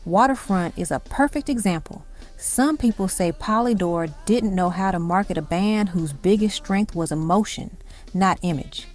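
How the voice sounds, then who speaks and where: Mellow-voiced woman narrating a countdown. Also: mid-range voice, moderate pace. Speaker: narrator of a music countdown video. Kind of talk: scripted documentary-style narration. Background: music behind the voice.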